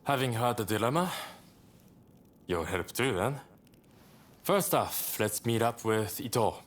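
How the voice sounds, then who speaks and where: A man's voice: medium pitch, lively intonation, unhurried, lightly acted. A man playing a courteous, roguish samurai rebel leader with wry, theatrical phrasing in a video game cutscene. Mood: wry, breezy.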